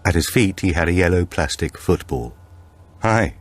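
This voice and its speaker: A man reading a thriller audiobook as a dramatic narrator, with measured, expressive storytelling. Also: low voice, no echo.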